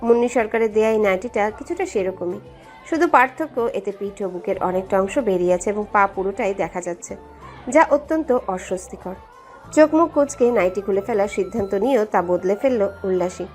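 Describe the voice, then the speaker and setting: A woman's voice: medium pitch, animated, a little fast, darkish tone. A woman narrating a scripted story in a dramatic storytelling style. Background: background music.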